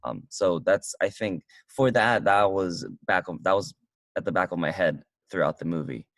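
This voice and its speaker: Deep-voiced man in casual film chat. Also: no room sound. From United States. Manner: halting, many restarts and fillers. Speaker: co-host reviewing a film. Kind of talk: casual movie review chat. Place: car.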